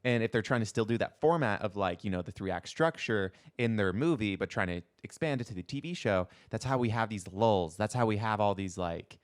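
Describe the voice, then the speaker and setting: A man's voice: on the low side, expressive, a little fast, hardly any pauses. Casual, slangy man chatting on a podcast. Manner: rambling, slangy, frequent "like". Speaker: podcast co-host. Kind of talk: casual pop-culture podcast chat.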